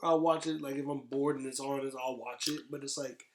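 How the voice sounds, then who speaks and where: A man's voice: mid-range voice, steady tone, brisk, roomy sound. A man chatting casually on a podcast about pop culture, blunt and emphatic, with slangy talk and swearing. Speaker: podcast host. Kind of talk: casual podcast chat about video game movies.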